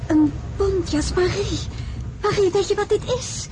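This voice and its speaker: Elegant homesick princess in a radio play, candid n theatrical, noisy sound effects behind. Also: female voice, extremely high pitch, a little slow. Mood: excited. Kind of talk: radio drama acting with first-person narration.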